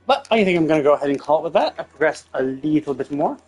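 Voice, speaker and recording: A man's voice: fairly high pitch, big pitch swings, fast talker, breathy edge, faint music behind. A man giving casual livestream commentary on games, explaining in a rambling way and often rephrasing himself. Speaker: video game livestreamer.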